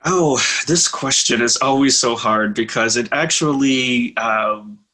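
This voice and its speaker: A middle-aged man co-hosting a casual show over a video call with a headset, telling his story in a thoughtful, measured way with fillers. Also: mid-range voice, sharp tone. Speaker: co-host.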